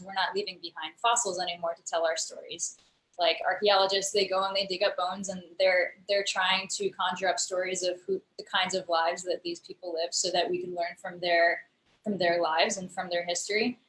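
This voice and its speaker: A woman's voice: on the low side, fairly bright tone, reverberant, poor audio. A woman, a guest on a remote interview, explaining her work at length, thoughtful and full of fillers, heard over a video call. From United States. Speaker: guest, digital storyteller and musician. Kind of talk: casual interview conversation.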